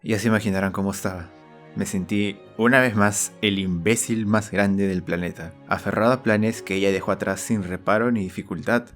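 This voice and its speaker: A young man narrates a personal story in an earnest, confessional way. His voice is on the low side, with soft music underneath. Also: steady tone, moderate pace. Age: young adult. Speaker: storyteller and channel host. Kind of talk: scripted autobiographical narration with acted dialogue. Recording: voice over background music.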